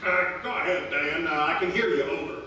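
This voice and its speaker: A man's voice: a little high, brisk, lightly acted. A man playing a folksy, plain-thinking sheriff with a hesitant, matter-of-fact manner in a live comic radio play. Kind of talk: live radio theatre comedy acting. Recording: echoey theatre, distant mic, faint noise.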